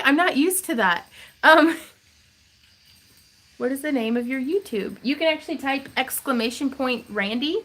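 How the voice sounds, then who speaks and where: A giggly, talkative woman answers viewer questions in a home craft room. Her voice sits a touch high and tumbles along with plenty of fillers. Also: a bit bright. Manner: chatty, giggly, lots of fillers. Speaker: craft channel host. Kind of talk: livestream Q&A chat.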